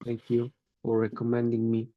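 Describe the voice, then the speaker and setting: A man hosting a casual podcast chat over a video call as a language teacher, unhurried and laughing often. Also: mid-range voice, monotone, no echo, phone-quality sound. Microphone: video call microphone.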